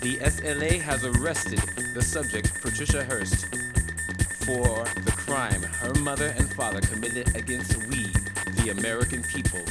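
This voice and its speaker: A woman's voice: extremely low pitch, measured pace. A woman playing a stern guerrilla field marshal, formally declaiming a communiqué over music in a radio play. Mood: solemn, accusing. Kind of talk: proclamation over electronic music. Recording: loud electronic music behind.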